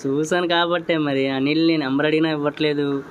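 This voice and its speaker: A man's voice: on the high side, steady tone, a little fast, a little hiss or hum. A man chatting flirtatiously on a phone call in a polite, coaxing way. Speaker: prank caller.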